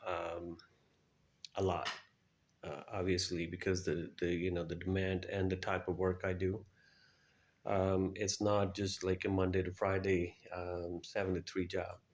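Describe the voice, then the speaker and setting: Middle-aged man, low and even-toned. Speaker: guest, air traffic controller. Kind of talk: interview answers, recounting experiences.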